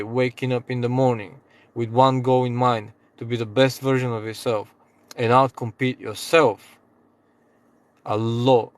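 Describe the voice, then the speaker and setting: Rambling non-native English-speaking man. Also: mid-range voice. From outside the UK. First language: not English. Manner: rambling, self-correcting, swears freely. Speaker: vlogger, trading channel host. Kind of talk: casual motivational talk to camera.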